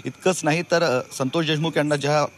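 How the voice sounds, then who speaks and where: Very fast-talking man reporting news. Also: on the high side, steady tone. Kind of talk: live unscripted news report.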